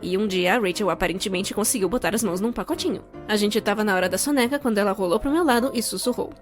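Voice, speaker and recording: A woman with a Brazilian accent giving a scripted comedic narration for an animated story, expressive and playfully sarcastic. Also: medium pitch, fast talker. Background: music.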